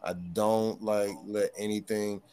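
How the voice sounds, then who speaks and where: A man's voice: on the low side, level tone, takes it slowly, dark tone, clean tone. Man with a thin voice, rambling. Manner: rambling, with frequent restarts and trailing thoughts. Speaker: guest hip hop artist. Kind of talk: casual podcast conversation.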